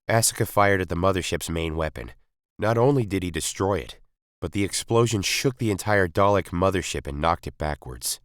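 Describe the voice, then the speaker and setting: Male story reader, low voice, dramatic scripted narration, close dry sound. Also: dry recording.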